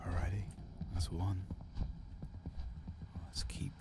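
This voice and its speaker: A man narrating a game playthrough in hushed, breathy ASMR style, murmuring in an unhurried way. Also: deep voice, flat delivery, heavy background noise. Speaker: ASMR gaming host. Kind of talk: soft-spoken whispered ASMR game playthrough.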